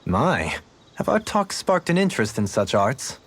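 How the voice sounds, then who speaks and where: A man's voice: mid-range voice, big pitch swings, a little slow, slightly rough, full character voice. A man playing a cultured, gracious nobleman who speaks formally and eloquently, in video game dialogue. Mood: gracious, pleased. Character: cultured, courtly nobleman, gracious, reflective, music-loving. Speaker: video game party member. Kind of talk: English-language video game character dialogue.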